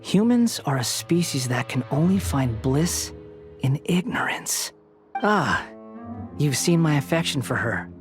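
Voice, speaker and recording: Casting: haughty young god villain, higher-set male voice, grandiose and scornful game delivery over prominent music. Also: very animated, measured pace. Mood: smug, menacing. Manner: haughty, theatrical, formal diction. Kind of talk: dramatic video game acting.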